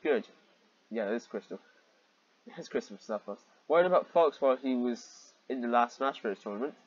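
Exasperated husky man with a lively pitch. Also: brisk, no echo, dull audio. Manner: exasperated, easily sidetracked, breaking off to comment. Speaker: reader and commentator of fan fiction. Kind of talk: mocking read-aloud with rambling asides.

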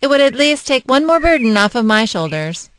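A woman's voice: high-pitched, big pitch swings, brisk, fairly bright tone, a little hiss or hum. A woman acting a harried, defensive mother in an audio drama. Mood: curt, stressed. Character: harried, defensive mother juggling work and child.

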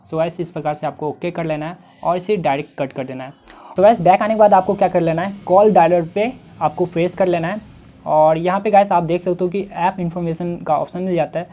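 A man's voice: high voice, a little fast, mellow. A man in his twenties with an Indian accent, hosting a step-by-step tech tutorial and addressing viewers directly on a lapel mic. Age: 20s.

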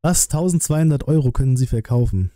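Male livestream host, 30s, very mellow dark tone, dry close-mic sound, colloquial and blunt, thinking out loud. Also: medium pitch, quick pace, no room sound. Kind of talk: casual livestream chat and trailer reaction. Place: small decorated streaming room indoors. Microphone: close desk microphone, headset worn.

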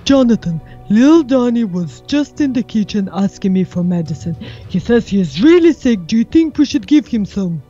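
A woman's voice: mid-range voice, expressive, moderate pace, dark tone, highs cut off, lightly acted. A woman playing a wicked, impatient villain wife devoted to her husband, in a Minecraft roleplay. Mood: scheming, impatient. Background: music.

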